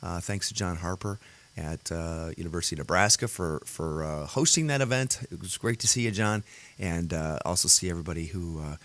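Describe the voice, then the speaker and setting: A man hosting his own show in casual solo chat, his voice gravelly and worn today, rambling with fillers and restarts. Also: low voice, fairly quick, a bit bright. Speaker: podcast host. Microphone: computer audio setup.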